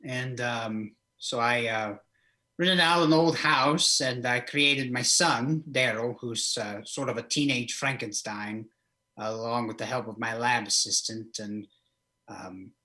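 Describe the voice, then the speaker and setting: A man's voice: mid-range voice, measured pace, bright, forceful tone. A man playing a flamboyant old vampire count from Eastern Europe as a comic horror host, rambling hesitantly through an interview in character. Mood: matter-of-fact, rambling.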